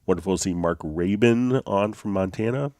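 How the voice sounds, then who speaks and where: A deep-voiced man leads a live software tutorial, nasal and congested from a slight cold. He explains things in a loose, easygoing way, often pausing on fillers. His voice sounds dry and close, with no room around it. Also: no room sound. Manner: easygoing, with many fillers and restarts. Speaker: host.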